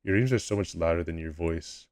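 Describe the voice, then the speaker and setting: A young man with a low voice, chatting casually with his live-stream chat in a laid-back, rambling way at a desk mic at home. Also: brisk, a little mellow, no echo.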